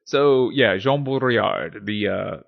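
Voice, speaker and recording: A man's voice: on the low side, slow pace, gravelly, dull audio. A man giving an informal explanatory monologue as a host, conversational and musing, often posing rhetorical questions. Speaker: host of a philosophy series.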